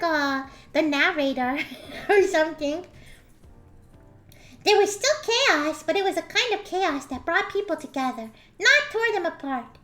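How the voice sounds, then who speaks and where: A woman with a squeaky filtered streamer voice. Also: sharp tone. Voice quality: squeaky voice from a slime avatar filter. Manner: giggly, reads story text aloud with voiced quotes. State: scratchy throat, clears it often. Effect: pitch-raised voice filter. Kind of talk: casual stream chat, reading a story aloud.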